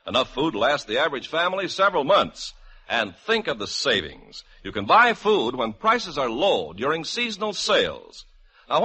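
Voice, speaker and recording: Casting: male commercial announcer, 1950s radio, higher pitched, hugely animated, emphatic pitchman read. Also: breathy edge. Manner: emphatic salesmanlike delivery.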